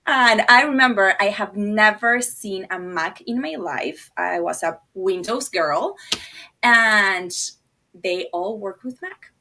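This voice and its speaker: Bright-voiced Argentinian woman telling stories. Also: mid-range voice, slow, slight background noise. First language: Spanish. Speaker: podcast guest, designer and producer. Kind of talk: casual conversational storytelling.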